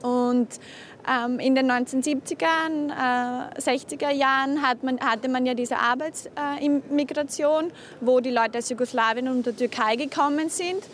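A woman's voice: high-pitched, fairly even intonation, unhurried, slight background noise. A young woman from Styria, Austria, chatting outdoors as a volunteer tour guide, friendly, with frequent fillers. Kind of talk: casual conversation and interview. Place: outdoors on a city square.

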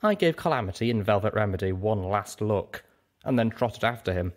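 A man's voice: on the low side, expressive, a little fast. A man reading scripted first-person fiction as an audiobook narrator.

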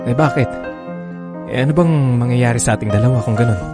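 A man's voice: medium pitch, fast, natural voice. A man playing an evasive married lover in a radio drama, hesitant and placating as he soothes his girlfriend. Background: background music.

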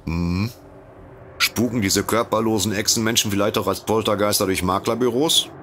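An actor playing a dry, skeptical detective in a comedic audio drama, speaking in a measured, sardonic way. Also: male voice, lowish voice, fairly even intonation, fairly bright tone, slight background noise, natural voice. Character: dry, skeptical male detective, the sensible partner.

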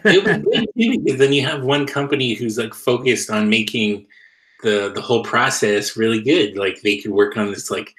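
A man's voice: mid-range voice, moderate pace. A young man co-hosting a casual video call chat, speaking loosely with many fillers as a former comics retailer. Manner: relaxed, loose with likes and you-knows. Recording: echoey room over a video call.

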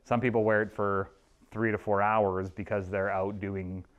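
A man's voice: fairly low pitch, little pitch movement, normal speed, lo-fi sound. A man in his thirties leading a chiropractic workshop in a small clinic room, talking loosely to a seated audience with many fillers and restarts. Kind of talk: informal presentation with audience questions. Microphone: room camera at a distance.

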